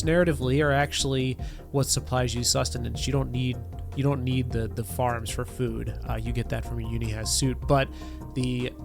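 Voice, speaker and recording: A man's voice: medium pitch, vocal fry. A man in his thirties with a stuffy nose from a cold, giving a chatty, loose game update from a home studio on a desk mic. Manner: chatty, loose, lots of fillers. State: nasal from a cold. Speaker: game developer community manager. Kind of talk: casual update video. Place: home studio with neon lights. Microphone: desk podcast mic. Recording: music bed under the voice.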